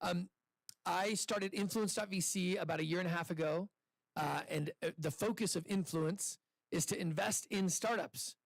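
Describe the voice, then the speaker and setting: A man hosting a live pitch event, speaking casually into a handheld microphone to an audience in an indoor room, chatty and upbeat with loose restarts. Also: moderate pace, creaky, dry recording, low-quality recording. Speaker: event host and investor.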